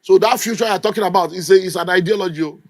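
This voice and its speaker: A man's voice: high-pitched, quick pace, no echo. A middle-aged Nigerian man preaching a sermon with an emphatic, scolding delivery. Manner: emphatic, scolding, mimics people in quotes. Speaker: pastor.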